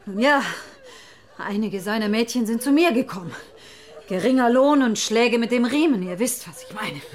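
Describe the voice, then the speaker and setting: A woman playing a shrewd, seductive brothel madam in a radio drama, speaking with pauses and soft teasing. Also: on the high side, lively intonation, natural voice. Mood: frank.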